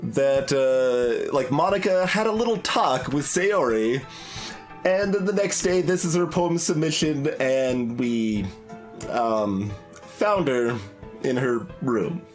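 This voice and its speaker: A man's voice: mid-range voice, takes it slowly. A man giving casual playthrough commentary, thinking aloud in a hesitant, rambling, analytical way. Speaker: game streamer. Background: background game music.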